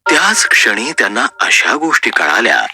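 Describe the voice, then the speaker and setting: A man's voice: medium pitch, big pitch swings, normal speed, background noise. A man narrating a scripted Bible story as a storyteller.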